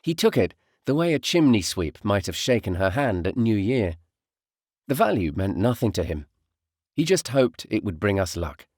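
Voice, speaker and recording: A man narrates a novel in the first person. His delivery is reflective and measured, with a lively rise and fall in pitch. The sound is dry and intimate, with little room in it. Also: dry recording. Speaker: audiobook narrator, voice artist.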